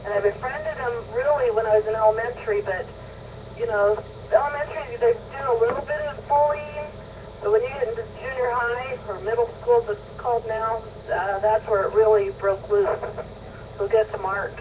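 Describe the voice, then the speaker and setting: A woman's voice: a little high, steady tone, moderate pace. A woman chatting casually and telling personal stories over a scratchy two-way ham radio. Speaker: amateur radio operator. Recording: distant, muffled, noisy mobile radio.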